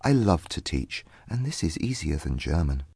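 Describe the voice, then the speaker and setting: A woman voicing an earnest young gentleman suitor in a storybook, tender and persuasive in manner. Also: extremely low pitch, sing-song, moderate pace, vocal fry, husky, no echo, pitched below the performer's own voice, full character voice. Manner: persuasive, beseeching.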